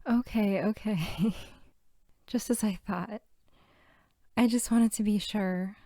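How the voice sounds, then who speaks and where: A woman playing a sweet, bookish, playful archivist girlfriend in an intimate ASMR roleplay, soft-spoken and teasing. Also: mid-range voice, fairly even intonation, slow, dry recording, clean studio sound. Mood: cozy, affectionate. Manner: soft-spoken, often near whisper, giggly, teasing.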